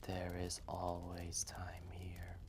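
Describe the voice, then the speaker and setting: A man voicing an ancient, gentle, wise male dragon in a roleplay story, speaking in a hushed, measured, often breathy voice with a kindly manner. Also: completely flat delivery, a little hiss or hum. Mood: soothing. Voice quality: low, gravelly, often breathy and whispery.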